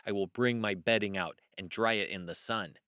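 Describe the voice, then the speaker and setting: A man voicing a fussy, vengeful male fox in a children's storybook, fastidious and emphatic. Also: on the low side, bright, forceful tone, clean tone, no room sound, clean studio sound. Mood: gloating, fussy. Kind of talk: children's storybook read-aloud.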